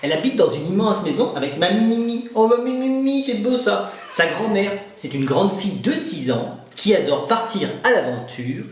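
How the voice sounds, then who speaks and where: A man's voice: high-pitched, very animated, moderate pace, hardly any pauses. A man talking casually and exclamatorily in a conversational comedy sketch. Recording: very echoey, distant mic, faint noise.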